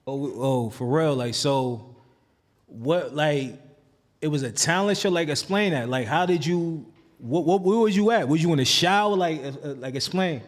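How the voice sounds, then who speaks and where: A man's voice: fairly high pitch, normal speed, in a large hall. A man hosting a podcast, asking questions in casual slang and stumbling over repeated words as he asks. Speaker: podcast host.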